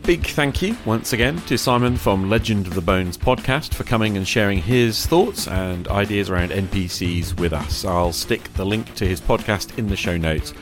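A man hosting a podcast in a casual, conversational and musing way. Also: low-pitched. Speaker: podcast host.

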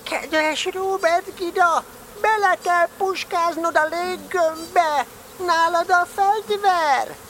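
A woman's voice: very high voice, a bit bright, slight background noise. A woman playing a small, earnest, scheming toy bear in an audio drama, with naive, solemn pronouncements. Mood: earnest, decided. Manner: solemn, self-important pronouncements. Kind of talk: audio drama storybook acting.

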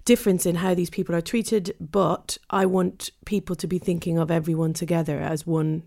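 A woman with a fairly low voice and plenty of vocal fry. She talks as a thoughtful interviewer, hesitant and feeling her way toward the right words. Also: little pitch movement, normal speed, dark tone.

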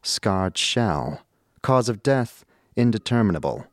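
A man's voice: lowish voice, expressive, takes it slowly, dry recording, clean studio sound. A man voicing a methodical mortuary record-keeper who reads out entries in a clipped, clinical way for an audio drama. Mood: clinical, detached. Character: dry, methodical mortuary clerk reading ledger entries. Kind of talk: audio drama document reading. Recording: music under the voice.

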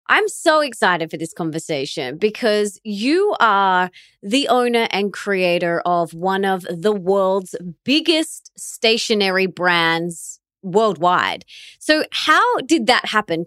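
A woman's voice: medium pitch, a bit bright, no room sound, clean studio sound. A woman hosting a podcast with a gushing, enthusiastic manner. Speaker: podcast host.

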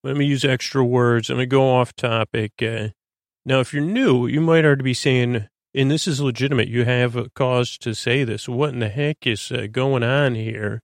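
A man with a creaky voice hosting a sleep podcast, meandering and halting as he stammers and drifts onto tangents. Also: medium pitch, fairly even intonation, no echo, clean studio sound. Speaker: podcast host. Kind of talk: rambling bedtime-story podcast monologue to lull listeners to sleep.

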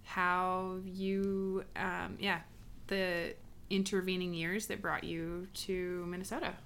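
A woman's voice: lowish voice, level tone, slow pace, fairly bright tone, slight background noise. A woman hosting a podcast, asking questions in a casual, encouraging way with some fillers. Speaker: podcast host. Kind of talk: casual interview talk.

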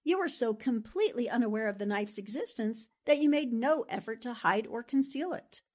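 A woman's voice: on the high side, expressive, brisk, darkish tone. A woman playing a shrewd, composed newspaperwoman in a 1940s radio drama, with a wry, knowing manner.

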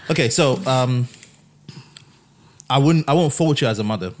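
A young man hosting a casual family podcast, teasing and laughing easily, often trailing off quietly. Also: mid-range voice, normal speed. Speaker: podcast host.

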